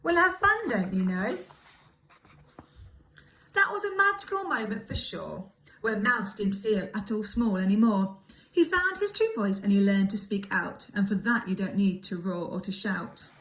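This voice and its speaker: A woman reading a children's story aloud in an expressive, dramatic way, like a primary school teacher. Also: mid-range voice, moderate pace, low-quality recording. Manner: animated, dramatic emphasis.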